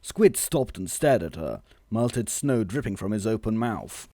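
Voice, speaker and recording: Guy narrating a silly story, mellow voice, straight-faced and measured, close dry sound. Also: fairly low pitch, expressive, no room sound. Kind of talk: scripted comic story narration.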